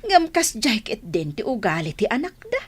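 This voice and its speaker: A woman's voice: a little high, wildly swooping pitch, moderate pace, husky, dry recording, heavily acted. A woman playing a disapproving, matchmaking older mother of a grown son in a radio drama, nagging as she talks. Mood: indignant, scolding.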